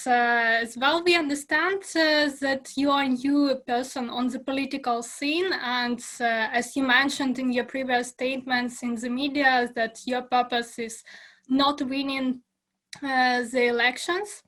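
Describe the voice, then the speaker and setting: A young woman hosts a remote interview. She asks careful, polite questions with small pauses. Her voice comes through a webcam mic in a small room. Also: sits high, a bit bright. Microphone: webcam on a video call.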